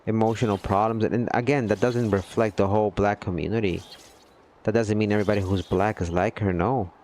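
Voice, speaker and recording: A man's voice: fairly low pitch, darkish tone, a little hiss or hum. A man chatting casually with his livestream viewers, earnest and rambling, often checking in with "you know what I mean". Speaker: gaming livestreamer.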